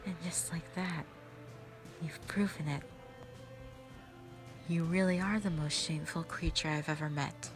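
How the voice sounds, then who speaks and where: A woman's voice: deep voice, fairly even intonation, unhurried, pauses often, music in the background, voice pushed down. A woman playing a stern, resolute witch in an audio roleplay, speaking with grave, cold condemnation. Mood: grave contempt.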